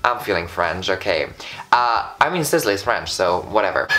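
A man's voice: lowish voice, very animated, unhurried, sharp tone, faint music behind, poor audio. A young man in his twenties from Austria with an Austrian German accent, reviewing lipsticks in a camp, gushing vlog style. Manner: camp, flamboyant, gushing exclamations. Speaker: beauty vlogger. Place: bright indoor room in front of a patterned backdrop.

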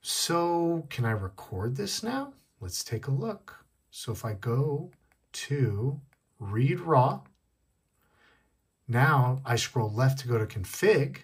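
Slightly husky man demonstrating gadgets. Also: medium pitch, big pitch swings, takes it slowly. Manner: step-by-step explaining, repeating key points. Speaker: tech tutorial host. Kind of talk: casual hands-on demonstration. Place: indoors at a wooden desk.

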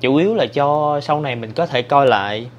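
A man in his thirties from Vietnam talks casually to the camera through a clip-on lapel mic. He speaks in an easy, hesitant way, with many small fillers. A steady noise runs behind his voice. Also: mid-range voice, a little fast, dull audio. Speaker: vlogger. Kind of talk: casual vlog tour. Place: indoor back room at work, then walking outside and through a nail salon.

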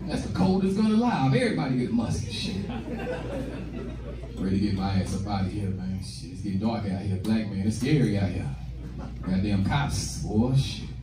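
A man's voice: lowish voice, sing-song, moderate pace. A young American man performing stand-up comedy in a slangy, profane, conversational way, acting out bits of dialogue. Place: small comedy club stage, indoors. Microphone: handheld stage mic, recorded from a distant camera. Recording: echoey club room, distant mic, loud crowd noise. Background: audience laughter and room noise.

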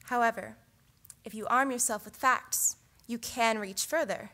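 A woman's voice: a little high, measured pace, pushed and bright. A young woman, a student, giving an earnest, persuasive competition speech with rhetorical questions at a lectern microphone in a large theatre. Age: young adult. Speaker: student orator.

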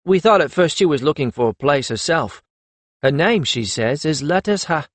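Man doing a prim, haughty palace servant, raised pitch. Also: animated, fairly quick, no echo. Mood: sniffy, puzzled.

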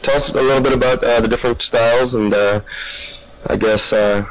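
A man's voice: medium pitch, steady tone, a little fast, low-quality recording. A man hosting an internet radio show, putting questions to a guest in an informal, jokey manner.

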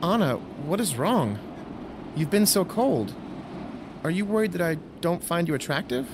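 A man's voice: a little high, very animated, unhurried, noisy background. An actor playing an earnest, courteous young Roman nobleman and officer in an audio drama. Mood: tender.

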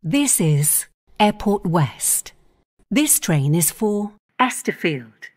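Slow, lilting female train announcer. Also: medium pitch, very animated. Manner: measured, clipped station-by-station phrasing.

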